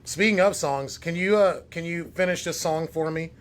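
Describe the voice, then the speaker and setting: A man's voice: a little high, normal speed. A man hosting a casual livestream interview, asking playful, teasing questions. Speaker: livestream host.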